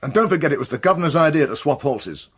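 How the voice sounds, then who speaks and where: A man's voice: on the high side, lively intonation, fast talker, breathy edge. An actor playing a defensive, indignant film-crew hand under questioning in a radio drama, insisting on his account.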